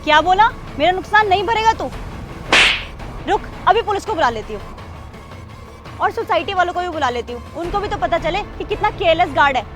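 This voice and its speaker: A woman's voice: very high voice, lively intonation, brisk, pauses often, over music. An actress playing an arrogant rich young woman who berates a guard in a short drama skit. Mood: furious. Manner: rude and shouting, later softly apologetic.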